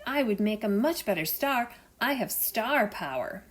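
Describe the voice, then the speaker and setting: A woman's voice: on the high side, lively intonation, measured pace. A woman voicing a vain octopus in a read-aloud storybook. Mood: smug. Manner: smug, boastful.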